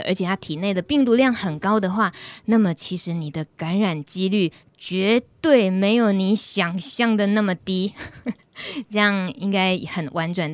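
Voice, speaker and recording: A woman's voice: medium pitch, no room sound. Taiwanese woman hosting, dry close sound. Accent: Taiwanese Mandarin. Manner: chatty, addresses listeners directly. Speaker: radio host. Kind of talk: conversational explanation on a live talk show.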